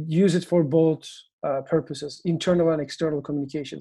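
Turkish-accented male founder, mellow tone, halting speech. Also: a little high. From Turkey. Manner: halting, many fillers and restarts. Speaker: agency founder, guest. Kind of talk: interview answers.

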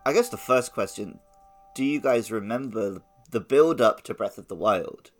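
A man's voice: lowish voice, lively intonation, moderate pace, faint music behind. A man co-hosting a podcast, talking in a casual, conversational way with lots of "like". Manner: conversational, peppers talk with "like". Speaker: podcast host.

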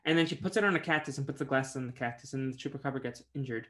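A man's voice: medium pitch, rapid-fire, fairly bright tone. A man co-hosting a podcast in casual chat, rambling with many fillers and light laughs. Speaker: podcast co-host.

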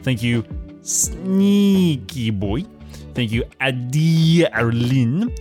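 Male podcast host, lively intonation, casual announcements over guitar music. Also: mid-range voice, drawn-out words. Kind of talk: casual patron thanks and announcements.

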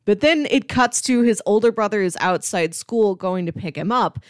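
A woman's voice: medium pitch, expressive, normal speed, dry recording, clean studio sound. A woman hosting a podcast, talking casually and enthusiastically about film with emphatic delivery. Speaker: podcast host.

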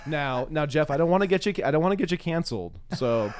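A man's voice: fairly high pitch, sing-song, fast, a little mellow, slight background noise. A man hosting a podcast interview in a casual, conversational way, with a wry, playful and self-mocking manner.

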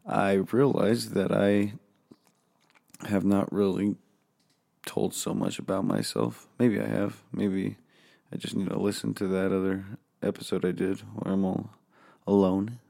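A man hosting a casual solo podcast from his home office, rambling and swearing freely, with a hoarse voice. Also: low voice, flat delivery, a little slow, pauses often, warm tone. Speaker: podcast host.

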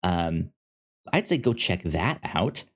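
Halting man with lively, swinging pitch. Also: breathy edge. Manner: halting, stammering with repeated syllables. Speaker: podcast co-host. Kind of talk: casual film review chat.

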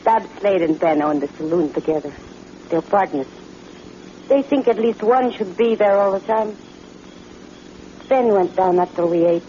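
Actress as a sultry, mellow frontier temptress. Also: female voice, mid-range voice, moderate pace, pauses often, close to an everyday voice. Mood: cool, coy. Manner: sultry, forward, languid. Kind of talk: old-time radio western acting. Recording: muffled, noisy vintage radio recording.

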